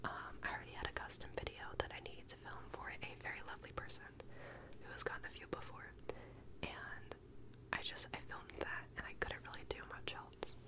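A woman's voice: fairly quick, rough recording. A young woman whispering a casual, rambling ASMR check-in with a stuffed-up nose, in a small bedroom on a close microphone. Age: young adult. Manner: rambling, casual, many fillers. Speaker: ASMR creator.

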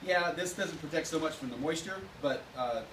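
A man's voice: on the high side, normal speed. A man who works as a mechanic, talking in short, joking remarks while he works. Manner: joking, laconic. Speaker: engine builder, mechanic. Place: indoor car restoration workshop. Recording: distant voice in noisy shop.

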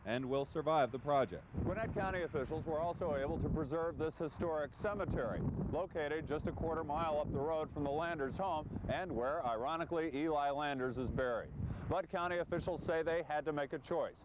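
A man's voice: a little high, fairly quick, noisy background. A man narrating a scripted television news feature as a reporter.

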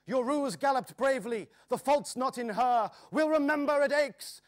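Man acting an urgent rider, crying out high. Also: moderate pace. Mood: pained, resolute. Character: urgent, gasping male horseback rider crying out to comrades. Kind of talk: dramatic poetry recitation.

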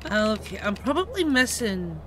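A woman's voice: mid-range voice, big pitch swings, moderate pace, a little hiss or hum. A woman streaming a game live, muttering commands aloud and swearing at it. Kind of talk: live gameplay commentary.

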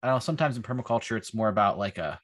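Low-voiced young man, hesitant on a call. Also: animated, very fast, fairly bright tone, no room sound, clean studio sound. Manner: loose, hesitant, trailing off. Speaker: co-host. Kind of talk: casual video-call conversation. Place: home room, indoors. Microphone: laptop webcam on a video call.

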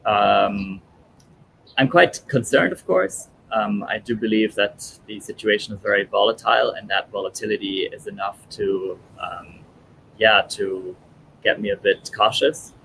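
Low-voiced man on a video call. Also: unhurried, vocal fry, a bit bright, a little hiss or hum, rough recording. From born in Italy, grew up in German-speaking Europe. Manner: thoughtful, many fillers and restarts. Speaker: guest. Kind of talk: casual interview over video call. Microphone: headphones on video call.

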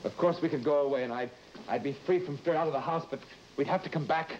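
An actor playing a haunted, fearful husband in an old-time radio horror drama, speaking in a halting, melodramatic way and repeating words for emphasis. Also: male voice, fairly high pitch, a little mellow, close to an everyday voice. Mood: anguished. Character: tormented husband haunted by dead woman's letters, brooding and fearful. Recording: dull, noisy old radio broadcast recording.

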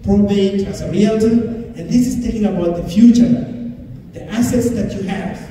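A man's voice: high-pitched, mellow. A middle-aged man giving a motivational speech to a gathering over a PA microphone. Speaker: community speaker and realtor. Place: large hotel banquet hall, indoors. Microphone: handheld mic over PA, recorded from the audience. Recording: echoey hall from far off, faint noise.

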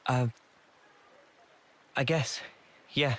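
A man playing a gentle, sombre young soldier in a video game, speaking in a subdued, reflective way. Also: mid-range voice, steady tone, drawn-out words, a little hiss or hum. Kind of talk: video game cutscene acting.

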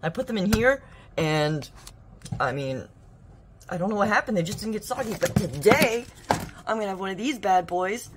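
A woman's voice: medium pitch, expressive, moderate pace, long pauses, background noise. Chatty young woman vlogging at home. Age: young adult. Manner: chatty and bubbly, self-mocking. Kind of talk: casual vlog chat. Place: living room at home. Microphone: phone camera at arm's length.

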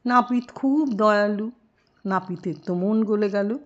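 Slow, expressive woman storyteller. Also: medium pitch, muffled recording. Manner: expressive, drawn-out emphasis, rhetorical questions. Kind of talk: animated children's storytelling.

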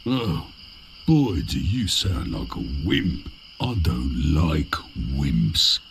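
A gruff, menacing troll from an adventure game. He declaims bluntly, dragging out each word in a gravelly voice with big swings of pitch, over faint night noise. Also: big pitch swings, drawn-out words, heavily acted. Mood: gruff, demanding. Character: gruff male toll-guarding troll, slow-witted and menacing. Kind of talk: cartoonish adventure game acting.

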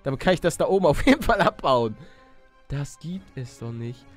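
Animated, high-pitched man gaming. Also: moderate pace, soft background music. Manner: exclamatory, slangy, talking to viewers and to himself. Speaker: gaming YouTuber and let's player. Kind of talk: excitable Minecraft let's play commentary.

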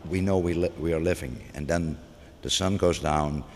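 A man's voice: low-pitched, normal speed. A middle-aged man, a museum curator talking off the cuff, hesitant with many restarts and fillers, recorded inside a large gallery. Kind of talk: unscripted gallery interview. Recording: long gallery reverb, faint background noise.

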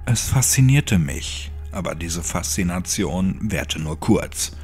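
A man with a low voice narrates a horror story in pronunciation. He reads it as a scripted audiobook, with faint music underneath. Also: lively intonation, slow pace, darkish tone.

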